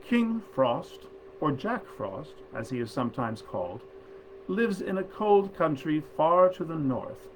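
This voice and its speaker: Gravelly-voiced man presenting stories, noisy recording. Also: medium pitch. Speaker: actor hosting a literary reading. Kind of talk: informal introduction, then storybook reading aloud.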